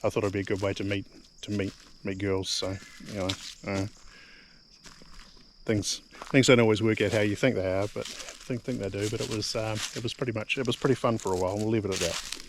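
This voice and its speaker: A man's voice: lowish voice, fairly even intonation, fairly quick. A middle-aged man from New Zealand with a New Zealand accent, rambling casually to camera, full of ums and repeated words. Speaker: vlogger. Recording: faint background noise.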